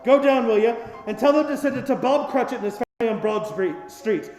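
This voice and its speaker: A man's voice: very high voice, lively intonation, fast, darkish tone. A man playing an old miser reformed into giddy generosity in a theatrical radio play, exclaiming and repeating his words. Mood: overjoyed. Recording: echoey reverberant hall sound, music underneath. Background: music.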